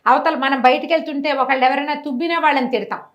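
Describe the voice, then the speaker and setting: A woman storyteller speaks very quickly in a fairly high voice. She tells a moral tale like a conversation, slipping into dialogue and turning to the listener to drive the lesson home. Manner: animated, didactic, acts out dialogue with rhetorical questions.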